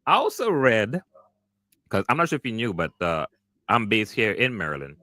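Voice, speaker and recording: A man's voice: lowish voice, wildly swooping pitch, normal speed, bright, forceful tone, no room sound. A man hosting a podcast interview, chatting casually and enthusiastically, often repeating himself. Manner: enthusiastic, repeats words like "wow" and "okay". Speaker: podcast host.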